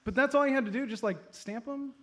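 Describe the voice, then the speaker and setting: A man playing an excitable, earnest boyish hero with an eager, theatrical manner in a live comedy radio play. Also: very high voice, fast. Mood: nitpicking. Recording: live stage recording with background noise.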